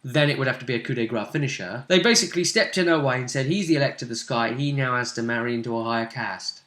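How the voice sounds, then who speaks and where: A man's voice: mid-range voice, fast talker, a bit bright. A man talking casually as game master of a tabletop role-playing game, chatty and fond of tag questions. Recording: echoey room.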